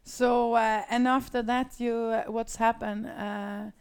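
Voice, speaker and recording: A woman's voice: on the high side, little pitch movement, clear, resonant tone. A woman from Sweden hosting an interview in a studio, speaking hesitantly with many "uh"s and encouraging murmurs. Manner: slow, hesitant, frequent "uh" and "mm-hmm". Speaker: interview host.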